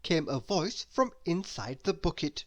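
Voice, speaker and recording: Slow, animated woman reading a storybook. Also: fairly low pitch. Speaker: storybook reader. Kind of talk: expressive children's story narration.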